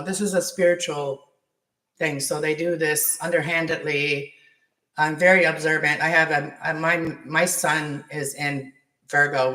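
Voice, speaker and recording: A man with a raspy voice hosting a tarot livestream, rambling with many restarts and fillers. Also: fairly high pitch, pushed and bright, roomy sound, low-quality recording. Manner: meandering, many restarts and fillers, spiritual talk. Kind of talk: rambling live-stream monologue. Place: apartment at home.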